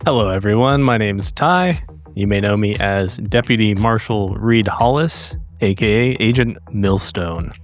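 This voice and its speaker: Man with a lowish voice at the table. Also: measured pace. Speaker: player in tabletop game. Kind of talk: casual introduction and table talk. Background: background music.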